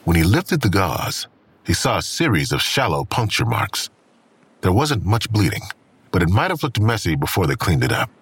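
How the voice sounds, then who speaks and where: A man's voice: deep voice, big pitch swings, normal speed, a bit bright, husky. A man reading scripted dramatic narration for an audio drama.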